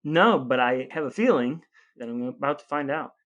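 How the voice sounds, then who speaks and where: A man's voice: medium pitch, animated, moderate pace, fairly bright tone, lo-fi sound. A man co-hosting a podcast, telling stories casually in a wry, jokey way. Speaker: podcast co-host. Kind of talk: casual conversational storytelling.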